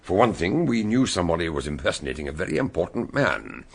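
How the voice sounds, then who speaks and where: A shrewd older British detective in a radio drama. The voice is low, gravelly and husky, with extremely animated swoops in pitch. He reasons aloud in a deliberate, theatrical manner. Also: exaggerated voice. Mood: explanatory, triumphant. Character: shrewd, donnish older male amateur detective, unhurried and theatrical.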